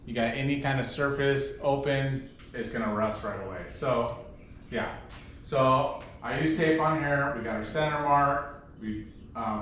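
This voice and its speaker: A man's voice: medium pitch, a bit bright. Echoey, off-mic man doing DIY. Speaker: DIY garage host. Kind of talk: casual hands-on project walkthrough. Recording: echoey, distant mic, faint background noise.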